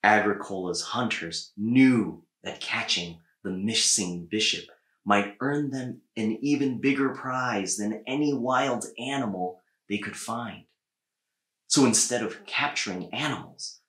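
Slow-reading man, echoey room. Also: on the low side, a bit bright. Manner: measured, deliberate phrasing with pauses. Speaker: storybook reader. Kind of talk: read-aloud children's story narration. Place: small indoor room with bookshelves.

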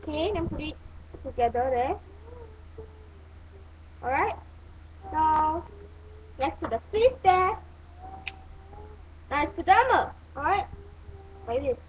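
A woman giving halting, step-by-step instructions in a hands-on toy building tutorial. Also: high voice, lively intonation. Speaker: toy review host. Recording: muffled phone-like audio, clear background noise.

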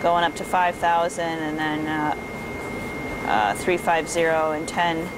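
A woman playing a methodical airline first officer, reading clearances and checklists in a procedural, halting way. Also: low-pitched. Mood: procedural, focused. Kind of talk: cockpit radio calls and checklist readout. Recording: noisy cockpit hum behind voice.

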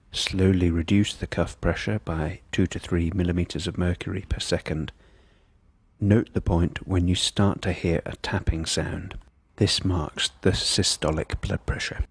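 Very deep-voiced male instructional narrator. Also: steady tone, slightly rough, dry recording. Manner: measured, precise, impersonal. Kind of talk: scripted medical instruction narration.

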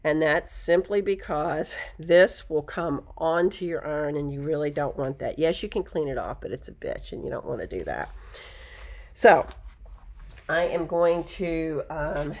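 A woman's voice: low voice, darkish tone. A woman with a nasal voice, chatty and rambling, teaching a quilting craft at her sewing table. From United States. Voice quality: nasal from allergies. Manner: chatty, rambling asides, self-correcting. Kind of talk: casual craft tutorial. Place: home sewing table, indoors.

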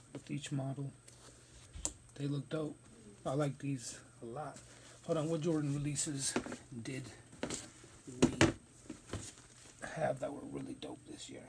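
A man's voice: mid-range voice, fairly even intonation, a little slow, creaky, pauses often, noisy background. A man hosting a casual sneaker unboxing vlog, talking in a loose, rambling way with plenty of slang, recorded on a phone camera in a room stacked with shoe boxes. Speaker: sneaker reviewer.

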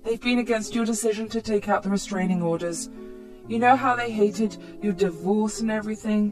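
A woman's voice: mid-range voice, fairly quick, darkish tone, faint music behind, close to an everyday voice. Concerned sibling giving a warning voiced by a woman.

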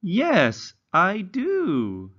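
Very slow, animated male children's teacher. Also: high voice, dull audio. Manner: carefully enunciated, instructional, exaggerated intonation. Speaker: English teacher for young learners. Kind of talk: slow read-along lesson narration for children.

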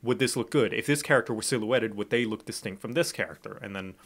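A man who grew up in Thailand talks through his ideas on a casual podcast. His voice sits low, and he meanders thoughtfully, restarting phrases as he goes. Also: fast talker, fairly bright tone. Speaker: podcast guest artist. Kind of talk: casual chat.

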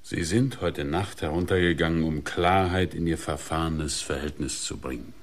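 An actor playing a shrewd, methodical police inspector in a German radio crime drama, with a husky, deliberate delivery. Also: male voice, very deep voice. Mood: stern, accusing.

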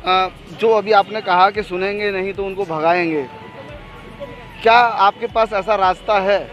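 High-voiced male street reporter. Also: steady tone, fairly bright tone, highs cut off, soft background music. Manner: probing, halting questions. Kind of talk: street interview questions. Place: outdoors on a city street at a protest. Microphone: handheld mic.

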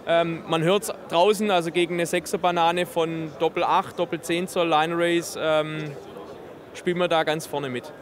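A man's voice: on the high side, fairly even intonation, moderate pace. A man in his thirties giving an enthusiastic, technical product explanation in an interview. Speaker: exhibitor presenting a loudspeaker system. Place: busy trade fair booth in a large hall. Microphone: handheld interview microphone held by interviewer.

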